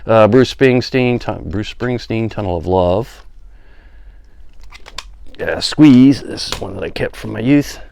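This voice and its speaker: A man's voice: on the low side, takes it slowly, creaky, long pauses, darkish tone, clean tone, no room sound. A middle-aged man giving casual, unscripted show-and-tell commentary, with offhand muttered asides to himself. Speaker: vlogger showing a music collection.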